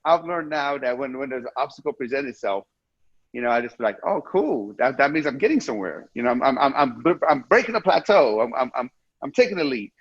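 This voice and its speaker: A man's voice: medium pitch, lively intonation, fairly quick, breathy edge, no echo. Earnest man from Iran on a muffled video call. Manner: earnest, repeats phrases with many restarts. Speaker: guest. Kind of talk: casual interview talk. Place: indoor room with ceiling fan, video call.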